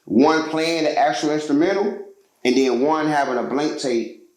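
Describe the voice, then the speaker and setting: A man's voice: fairly high pitch, moderate pace, a little mellow, reverberant, rough recording. A man from Georgia, a musician telling his story as a guest in a casual interview, loose and slangy with lots of fillers.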